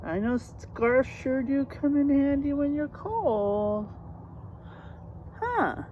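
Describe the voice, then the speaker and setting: A woman's voice: high-pitched, expressive, slow, dull audio, slight background noise. A woman reading a storybook aloud for children as host, explaining simply and addressing young listeners directly.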